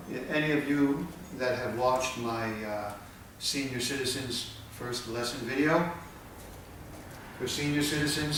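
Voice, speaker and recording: A man giving patient, plain spoken instruction while he demonstrates, pausing between short phrases. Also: mid-range voice. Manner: plain, patient explaining with pauses. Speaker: tai chi instructor. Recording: echoey, distant with background noise.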